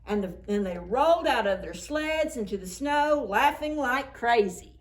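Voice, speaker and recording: A woman doing an expressive, playful picture-book read-aloud for preschool children. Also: fairly high pitch, moderate pace. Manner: playful, acts out sound words. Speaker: children's storytime reader.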